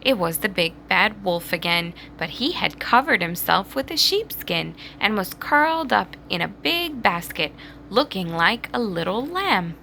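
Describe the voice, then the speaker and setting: A woman reading a children's story aloud in an expressive, dramatized storytelling manner. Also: mid-range voice, a little slow, gravelly, slight background noise. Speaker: storybook reader. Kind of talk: children's read-aloud narration.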